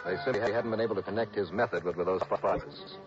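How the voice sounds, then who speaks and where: A man's voice: lowish voice, fast talker, music in the background, lightly acted. A man with an American accent playing a wry, hard-boiled insurance investigator in an old-time radio detective drama, speaking in clipped tough-guy style. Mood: dry narration. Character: hard-boiled, dryly confident male insurance investigator narrating his case. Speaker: lead radio actor. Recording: dull, lo-fi 1960s radio broadcast.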